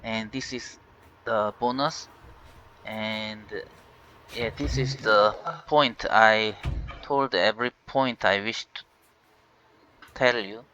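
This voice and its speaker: Korean-native man hosting a tech vlog, slow, bright tone, halting with fillers, faint hiss under a dull mic. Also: fairly low pitch, steady tone. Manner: halting, many fillers and restarts. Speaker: tech channel host. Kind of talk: casual screen-recorded walkthrough.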